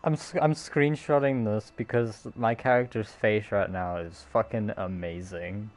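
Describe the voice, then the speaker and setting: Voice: mid-range voice, steady tone, measured pace, a little mellow, soft background music. A game streamer giving casual, off-the-cuff gameplay commentary with some swearing.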